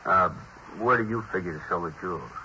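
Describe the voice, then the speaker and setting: A lowish, forceful American male voice as a cagey crook in an old radio crime play. He is slangy, grudging and easily irritated. The broadcast sounds dull, with faint hiss. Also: fast. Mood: defensive. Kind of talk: 1950s radio crime drama acting.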